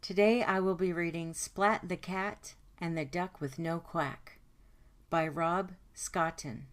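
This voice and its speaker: A woman reading a children's story aloud in a deliberate, expressive way. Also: fairly low pitch. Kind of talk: read-aloud story narration.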